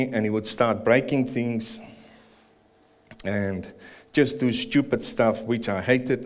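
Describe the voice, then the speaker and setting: South African man, lowish voice, nervous earnest church testimony with fillers and pauses. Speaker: church member giving testimony. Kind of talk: personal testimony and prayer in church. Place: church service.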